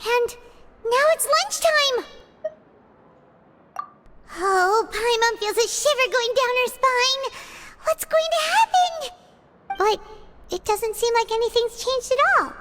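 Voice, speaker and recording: Tiny fairy sidekick, anime game: piping child voice, animated swoops, whiny and exclamatory. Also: female voice, extremely high pitch, slow, long pauses, noisy background, full character voice. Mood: wondering, eager. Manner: whiny, exclaiming, speaks of herself in third person. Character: tiny excitable floating fairy-like girl companion, always hungry. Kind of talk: anime-style video game voice acting.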